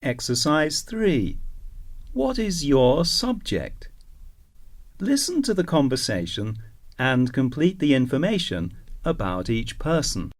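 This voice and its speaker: Male reader for a textbook listening track, unhurried pace, lively rise and fall. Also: mid-range voice. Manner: slow, careful, clearly enunciated. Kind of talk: scripted textbook listening exercise reading.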